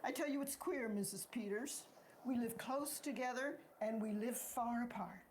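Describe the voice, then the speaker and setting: A woman playing a grieving, remorseful and quietly defiant farm wife in a stage play. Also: fairly high pitch, normal speed, close to an everyday voice. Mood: regretful, anguished. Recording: noisy amateur recording.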